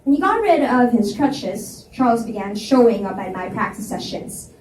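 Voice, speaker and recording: A woman's voice: fairly high pitch, sing-song, moderate pace, a little mellow. A woman narrating a first-person story aloud at a live indoor reading. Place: indoor reading event at a library. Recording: echoey room, distant mic, faint noise.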